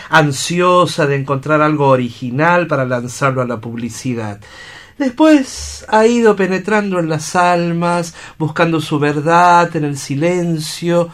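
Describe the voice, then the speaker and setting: A woman playing a thoughtful, dignified doctor in a radio play, with a deliberate, formal and hesitant manner. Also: fairly low pitch.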